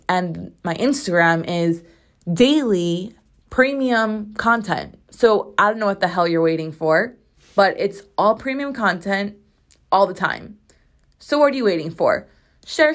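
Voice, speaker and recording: A woman in her twenties hosts her solo podcast in a rambling, emphatic style, swearing freely. Her voice is strained and hoarse, and she is recording from her bathroom at home. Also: mid-range voice. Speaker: podcast host. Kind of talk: casual solo podcast chat.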